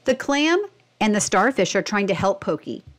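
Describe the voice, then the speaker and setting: A woman's voice: mid-range voice, very animated, normal speed. A woman reading a children's storybook and presenting it instructively, expressively asking young listeners questions. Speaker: read-aloud narrator and presenter.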